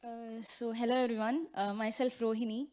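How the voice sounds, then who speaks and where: Woman, fairly high voice, lots of uh's, introducing herself. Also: flat delivery, normal speed, darkish tone, slight background noise. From India. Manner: hesitant, many fillers and restarts. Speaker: incoming student. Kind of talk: short self-introduction at a ceremony.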